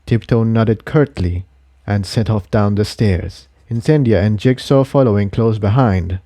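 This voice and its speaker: A man reading a scripted adventure story as narrator in a measured way. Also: on the low side, mellow, no room sound, studio quality. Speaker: audiobook reader of fan fiction.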